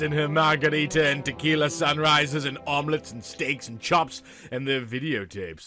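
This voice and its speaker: A man's voice: on the high side, bright, forceful tone, no room sound, clean studio sound. A man narrating a comic story in a hammy, dramatic, emphatic audiobook style. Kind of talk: theatrical comic audiobook reading.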